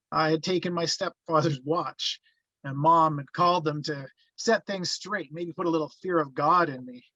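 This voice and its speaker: A man's voice: on the high side, pushed and bright, dry recording, dull audio. A man reading a reflective first-person narration as a character looking back on his youth in a script table read.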